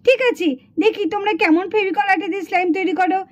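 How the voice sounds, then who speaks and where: A woman's voice: extremely high pitch, fairly even intonation, fast. A woman voicing an instructive schoolteacher doll in a children's puppet cartoon. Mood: encouraging. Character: female schoolteacher doll running a contest. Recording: dull lo-fi sound.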